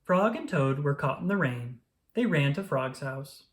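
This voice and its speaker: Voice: mid-range voice, lively intonation, measured pace. A narrator reading a children's storybook aloud in a scripted storytelling style.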